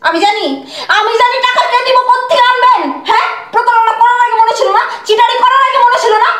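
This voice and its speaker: A woman playing a furious young bride who shouts insults and demands a divorce. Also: extremely high pitch, steady tone, quick pace, reverberant. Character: furious young bride, sharp-tongued and scolding. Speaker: newly married bride. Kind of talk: comic domestic drama acting.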